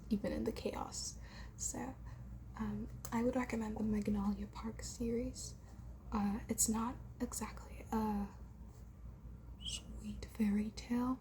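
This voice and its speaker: A woman playing a shy, bookish girl in an ASMR roleplay, soft-spoken and hesitant in a breathy whisper. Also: fairly high pitch, slight background noise. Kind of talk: whispered ASMR roleplay, improvised casual chat.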